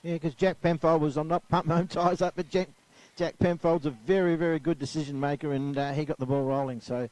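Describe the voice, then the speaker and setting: Chatty Australian footy co-commentator. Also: male voice, on the high side, steady tone, a little fast, few pauses, a little mellow, no echo. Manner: chatty, rambling, many fillers. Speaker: expert co-commentator. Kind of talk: casual match analysis.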